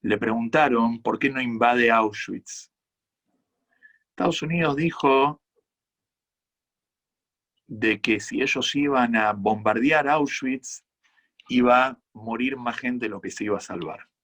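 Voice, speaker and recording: A man with an Argentine accent, a rabbi giving earnest, measured answers to audience questions at a conference talk. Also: mid-range voice, fairly bright tone. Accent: Argentine Spanish. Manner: earnest, measured, reflective explanations.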